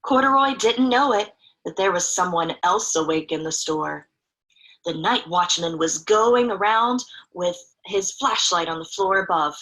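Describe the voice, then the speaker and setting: A woman's voice: on the low side, lively intonation, unhurried, fairly bright tone, poor audio. A woman reading a picture book aloud expressively to children, in a chatty way with young listeners. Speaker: children's story reader.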